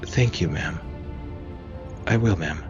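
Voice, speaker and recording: A man's voice: a bass voice, takes it slowly, muffled recording, over music. A man voicing a young female junior navy ensign in audio fiction, earnest and deferential. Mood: grateful. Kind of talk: audio fiction character dialogue.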